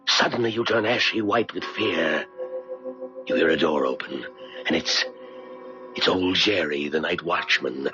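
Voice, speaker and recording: An actor playing a mysterious, calmly insistent man who seems to know everything, in a probing, deliberate 1970s radio drama style. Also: low-pitched. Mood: probing, accusing. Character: mysterious all-knowing man offering second chances, calmly insistent. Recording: muffled vintage radio broadcast with music.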